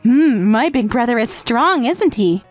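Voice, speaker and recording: Casting: actress as clingy teasing little step-sister, high coy voice, manga rom-com dub, music underneath. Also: female voice, lively intonation, measured pace. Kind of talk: English manga dub romantic comedy acting. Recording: music behind.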